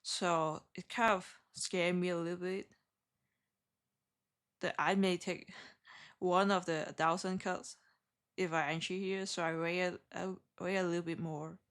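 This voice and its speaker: A woman from Hong Kong with a heavy Hong Kong accent, chatting with viewers on a live trading stream in halting, self-correcting English. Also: on the low side, little pitch movement, a bit bright. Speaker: day trader streaming live.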